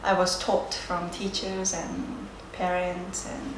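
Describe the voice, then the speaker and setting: A woman from Japan with a Japanese accent, a native Japanese speaker giving reflective, unscripted interview answers, hesitant and searching for words with frequent pauses. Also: on the low side, monotone. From Sendai area, Japan. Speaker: interviewee, yoga and Japanese teacher. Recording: roomy echo, clear background noise.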